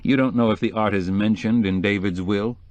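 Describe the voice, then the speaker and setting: A reserved, wry middle-aged journalist, voiced by a male narrator with a low, measured voice. He stays even and dry even when he is pressed. The recording is close and dry. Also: no room sound, lo-fi sound, close to an everyday voice.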